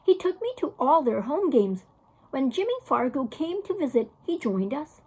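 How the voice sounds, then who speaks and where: A woman with a husky voice reading a children's novel aloud as a teacher would for a class. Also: high-pitched, very animated, normal speed, mellow.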